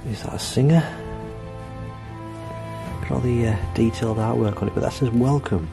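A man's voice: mid-range voice, normal speed, vocal fry, music in the background. A man in his thirties from England, talking casually and exclaiming in awe as he explores an abandoned house. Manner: excited, awestruck exclamations while walking around. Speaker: urban explorer vlogger. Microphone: handheld camera mic.

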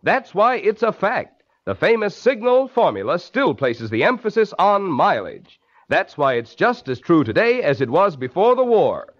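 A man's voice: high voice, sing-song, moderate pace, fairly bright tone. An American man delivering a 1940s radio commercial as announcer, emphatic, persuasive and polished. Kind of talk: 1940s radio advertising pitch. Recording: dull, lo-fi old radio recording.

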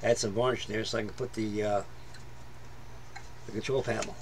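A man's voice: lowish voice, fairly even intonation, normal speed, a little hiss or hum. Plain-spoken man at his hobby bench. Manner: plain-spoken, rambling, many fillers and restarts. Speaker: scale model builder. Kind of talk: casual build commentary. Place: workbench in a home hobby room.

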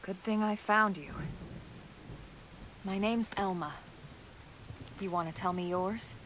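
A woman playing a composed, reassuring female sci-fi soldier in a video game cutscene, steady and matter-of-fact. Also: mid-range voice, slow pace, low-quality recording. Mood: calm, reassuring. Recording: faint rain and hiss behind.

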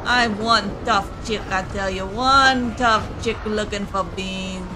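A woman's voice: mid-range voice, steady tone, slow, close to an everyday voice, low-quality recording. Woman doing a whiny tough heroine, music behind. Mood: defiant, complaining. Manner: complaining, blunt, broken grammar. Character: tough, whiny, proud young Russian heroine. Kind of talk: comedic game parody dub.

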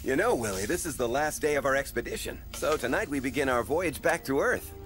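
An actor playing a friendly explorer father on a spaceship in an animated film, with an encouraging, instructive manner. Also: mid-range voice, a little fast, natural voice. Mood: warm, upbeat. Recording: music behind the voice.

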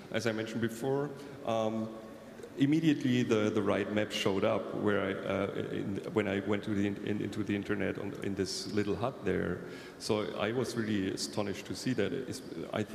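Man, low, gravelly, moderator, echoey hall. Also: a little mellow. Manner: halting, searching for words. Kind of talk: conference panel moderation. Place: large conference hall. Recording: echoey conference hall, faint noise.

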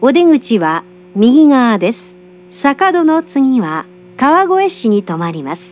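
A woman's voice: on the high side, big pitch swings, slow, dull audio, a little hiss or hum. A woman giving formal, polite, carefully enunciated scripted train announcements, recorded from a carriage speaker.

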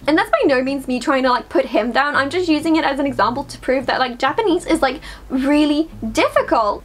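High-voiced chatty Swedish-native woman vlogger. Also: a little hiss or hum. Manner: chatty, lots of fillers. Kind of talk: casual chat to camera. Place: small bedroom, indoors.

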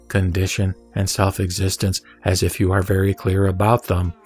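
A man reading a dramatised Buddhist scripture as narrator, in a measured, solemn and formal delivery. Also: lowish voice. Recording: background music under the voice. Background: music.

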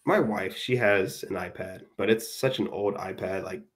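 A man's voice: low-pitched, moderate pace, a little hiss or hum. A man in his thirties, a guest on a casual video call, talking in a rambling, self-deprecating way with lots of hesitant fillers. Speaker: guest dyer. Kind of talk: casual chat. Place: home room. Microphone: webcam on a video call.

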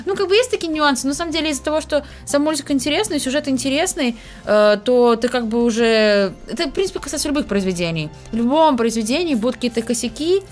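A young woman hosting a reaction show, chatting casually in a small room at home. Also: on the high side. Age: young adult.

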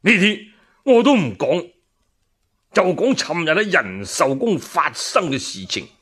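A man's voice: on the high side, wildly swooping pitch, unhurried, fairly bright tone, husky, full character voice. A man voicing an indignant, righteous old loyal minister in a radio storytelling drama, declaiming and stammering with outrage. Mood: accusing.

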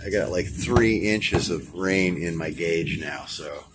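Slow-talking American man, low voice. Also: background noise. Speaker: vlogger. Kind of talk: casual off-the-cuff narration to camera. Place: inside a moving car in heavy rain, then around a house. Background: music on car radio, rain.

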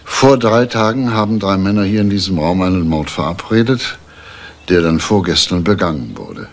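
An actor playing a calm, persistent police detective in a crime drama, speaking in a matter-of-fact, official way. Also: male voice, sits low, moderate pace. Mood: firm, insistent. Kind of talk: German TV crime drama acting.

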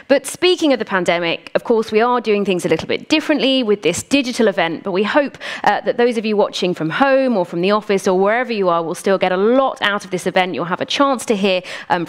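A woman in her thirties from the UK with a British accent, hosting an award event in an upbeat, welcoming manner. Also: mid-range voice. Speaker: event host, journalist. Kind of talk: live event presenting. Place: conference stage, indoors.

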